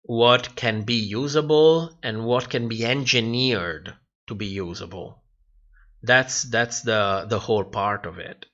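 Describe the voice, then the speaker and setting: A man's voice: mid-range voice, slow, fairly bright tone, lo-fi sound. A man hosting a casual livestream, answering viewer comments in a rambling way and repeating phrases as he thinks aloud. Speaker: livestream host.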